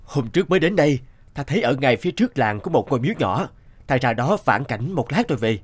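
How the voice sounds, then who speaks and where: A man's voice: medium pitch, very animated, quick pace, breathy edge, no room sound. An actor playing a principled young male county magistrate in a cartoon fairy tale. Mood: calm.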